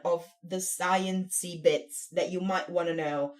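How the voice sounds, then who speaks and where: A woman's voice: on the low side, steady tone, slow. A young woman co-hosting a casual science podcast, a little nasal from a cold and laughing often. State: has a cold, slightly nasal. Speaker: podcast co-host.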